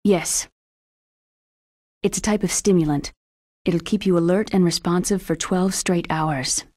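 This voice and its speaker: No-nonsense research doctor for a game cutscene: lowish female voice, precise and detached, dry close recording. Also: fairly even intonation, unhurried, a little mellow, no room sound. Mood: cool, informative. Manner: crisp, matter-of-fact, clinical. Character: cool, brisk female military scientist. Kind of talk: video game cutscene acting.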